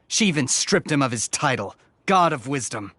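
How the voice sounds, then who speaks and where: A man's voice: mid-range voice, big pitch swings, normal speed, sharp tone, slight background noise. Sneering, menacing male desert mercenary. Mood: devout, bitter. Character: zealous, scornful desert mercenary informant, adult man. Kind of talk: English anime game voice acting. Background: game music.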